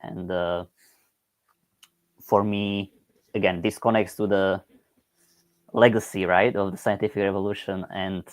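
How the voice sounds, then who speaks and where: A man from Eastern Slovakia, speaking over a video call in a thoughtful, academic way, often pausing on "uh" and tagging points with "right?". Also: deep voice, flat delivery, no echo. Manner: hesitant, many "uh" fillers and "right?" tags.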